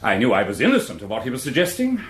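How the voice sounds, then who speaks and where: An actor playing a dignified, guarded middle-aged English academic knight, giving formal, precise, defensive testimony in a courtroom drama. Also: male voice, mid-range voice, wildly swooping pitch, rapid-fire, reverberant. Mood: anxious, confessional. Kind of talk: courtroom radio drama acting.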